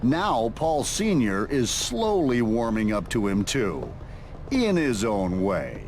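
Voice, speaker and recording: An actor narrating a motorcycle game's story cutscenes in a scripted storytelling style. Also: male voice, fairly low pitch, huge pitch swings, slow, noisy background, heavily acted.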